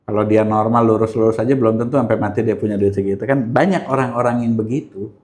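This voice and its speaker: A man's voice: on the low side, steady tone, quick pace, a little mellow. A man with a Jakarta Indonesian accent in a casual chat, telling things in slangy everyday language and acting out bits of dialogue. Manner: slangy, acts out dialogue with rhetorical questions. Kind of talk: casual chat, colloquial storytelling.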